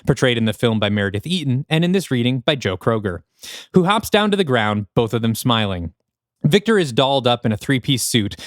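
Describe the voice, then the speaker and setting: A man reading scripted screenplay narration for an audio drama in an animated, descriptive manner. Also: mid-range voice, dry recording, clean studio sound.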